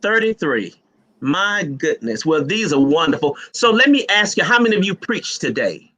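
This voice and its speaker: A man hosting a live online panel of pastors, jovial and teasing as he asks quick questions over a video call. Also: fairly high pitch, sing-song, sharp tone. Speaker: host and moderator.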